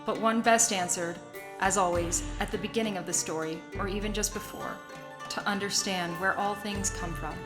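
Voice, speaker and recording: A woman's voice: mid-range voice, fairly even intonation, moderate pace, lightly acted. A woman acting in a radio play as a sheltered, questioning young daughter of a crime boss. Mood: brooding, reflective. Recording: music bed under the voice. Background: prominent music.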